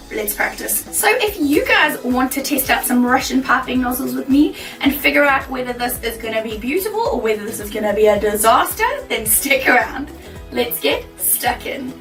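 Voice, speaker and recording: A woman with a croaky, worn voice chatting casually to camera as a baking vlogger, bubbly and laughing easily. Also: a little high, fairly bright tone, roomy sound, distant mic, poor audio. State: croaky, losing her voice.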